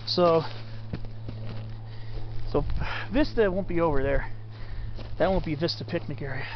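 A man giving casual, unscripted commentary on a hike, halting and thinking aloud as he goes. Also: fairly high pitch. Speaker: hiker narrating his own hike. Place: outdoors on a forested mountain slope. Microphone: handheld camera mic. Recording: muffled camera audio, loud wind noise.

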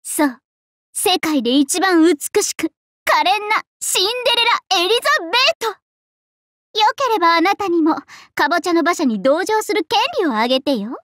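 Self-admiring young idol princess in an anime game: extremely high, lively, theatrical, playfully haughty. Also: female voice, moderate pace, no room sound, clean studio sound, exaggerated voice. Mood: proud, showy. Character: vain, bubbly young princess idol singer, self-styled Cinderella. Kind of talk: Japanese anime mobile game voice acting.

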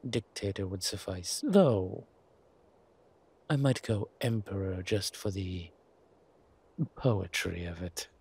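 A man playing a centuries-old vampire in a roleplay audio drama, suave, wry and protective, speaking in a measured, theatrical way with deliberate pauses. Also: sits low, darkish tone, heavily acted. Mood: playfully boastful. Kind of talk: scripted roleplay audio drama addressed to the listener. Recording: clear background ambience noise.

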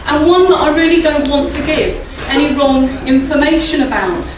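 Middle-aged woman, croaky, leading a chatty church talk at a lectern mic; distant, echoey hall with noise behind. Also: sits high, moderate pace. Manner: chatty, asks the audience tag questions and laughs often. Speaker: church speaker. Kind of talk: informal talk at a church service. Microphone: standing mic at a lectern, filmed by a far camera.

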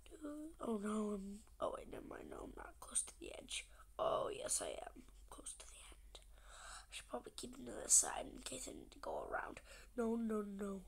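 A young gamer with a stuffy, congested nose, chatting casually and often half-whispering while playing a game. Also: female voice, medium pitch, huge pitch swings, moderate pace, vocal fry. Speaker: gaming channel host. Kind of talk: casual gameplay commentary.

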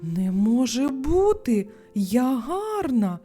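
A haughty lady frog from a children's storybook, acted out by a woman, vain and scornful. Her voice is high and swings about emphatically, over faint music. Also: sing-song, takes it slowly, mellow, full character voice. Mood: shocked, indignant. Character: haughty, rude, vain female frog neighbour.

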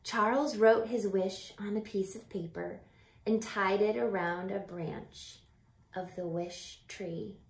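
A woman in her thirties reads a children's picture book aloud like a teacher, expressively, with drawn-out phrasing and asides to young listeners. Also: medium pitch, creaky. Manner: animated, deliberate, drawn-out phrasing with hushed whispers. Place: small home room by a window. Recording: roomy echo from home camera mic.

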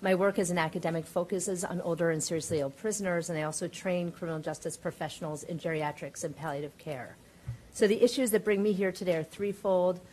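Woman giving measured formal testimony. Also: on the low side, a little mellow, rough recording. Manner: measured, precise, reading prepared remarks. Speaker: expert witness, professor of medicine. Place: hearing room.

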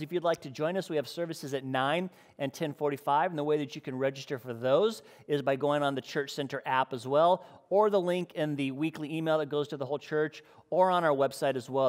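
A middle-aged man speaks as a church host in a conversational, informal style. His voice sits a bit high for a man, and a long reverb trails it, as in a big room. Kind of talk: casual church announcements and interviewing. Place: indoors, church stage.

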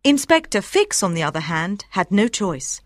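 A woman's voice: medium pitch, big pitch swings, moderate pace, no room sound, studio quality. A woman narrating an audiobook in a scripted storytelling style made easy for language learners.

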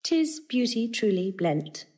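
A woman's voice: fairly high pitch, sing-song, slow, a little mellow. An actress playing a saucy, eloquent young woman disguised as a male messenger, in a declamatory, courtly classical Shakespearean style. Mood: admiring, impassioned. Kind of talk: classical stage acting of Shakespeare.

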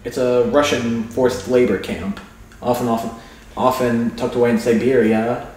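A man's voice: mid-range voice, fairly even intonation, moderate pace, noisy background. A man chatting casually and sardonically over a video game, with plenty of swearing. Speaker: let's player. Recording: very echoey room, distant mic, game music.

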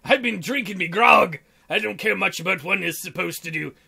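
A man's voice: high voice, normal speed, gravelly, sharp tone, husky, exaggerated voice. A man voicing a teasing, unbothered male pirate captain in drawling pirate talk for a visual novel. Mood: unbothered, dismissive. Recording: music under the voice.

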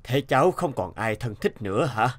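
Man playing a kind doctor, lively intonation. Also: mid-range voice, natural voice. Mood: concerned. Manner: gentle, deliberate, sympathetic. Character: kind middle-aged male doctor, heavyset, compassionate. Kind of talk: Vietnamese cartoon dubbing.

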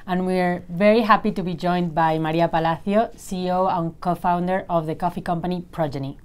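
Woman co-host, lowish voice, smooth and clear, measured questions. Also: fairly even intonation. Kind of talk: scripted show intro and interview questions. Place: office lounge.

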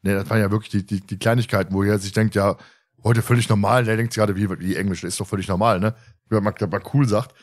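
A man's voice: lowish voice, fairly even intonation, quick pace. A man in his thirties from Germany co-hosting a podcast in casual, colloquial, teasing chat. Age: 30s. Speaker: podcast host.

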